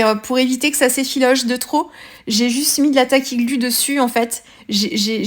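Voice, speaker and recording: A woman with a French accent talks through a craft project in a fairly high voice. She pauses often and restarts her sentences. Her tone is casual and chatty, like a friendly tutorial. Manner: hesitant, many fillers and restarts. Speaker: scrapbooking vlogger.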